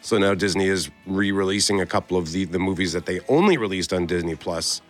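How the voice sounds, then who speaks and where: A man's voice: low voice, fairly quick, faint music behind. A Canadian man co-hosting a radio show in a casual, chatty style. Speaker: radio co-host.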